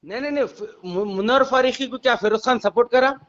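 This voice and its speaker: A man's voice: very high-pitched, quick pace, a bit bright. A man with a Hyderabadi accent working as a reporter, asking probing questions on a recorded phone call. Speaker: reporter conducting an interview.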